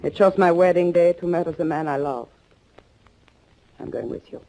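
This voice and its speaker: An actress playing a bereaved, steely young bride bent on revenge, with dramatic, measured delivery in 1940s radio thriller style. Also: female voice, lowish voice, steady tone, dark tone, natural voice. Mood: cold, resolute. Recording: noisy old 1940s radio recording.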